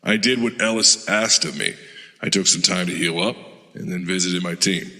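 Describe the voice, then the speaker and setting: Major Liberty, a low-voiced veteran super-soldier. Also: male voice, normal speed, full character voice. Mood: calm. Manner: steady recounting, low-key storytelling. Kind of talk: audio drama acting.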